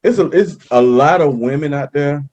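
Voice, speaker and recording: A man's voice: fairly high pitch, moderate pace, darkish tone, lo-fi sound. Laid-back man hosting a podcast. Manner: laid-back, affirming, frequent "you know what I'm saying". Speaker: podcast host. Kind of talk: casual podcast interview.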